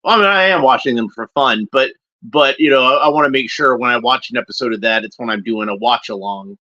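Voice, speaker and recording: Male watchalong host: bright, forward voice, mid pitch, loose rambling commentary, slightly muffled home recording. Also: fast talker. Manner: rambling, unscripted, with short observational remarks. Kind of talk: casual off-the-cuff watchalong commentary.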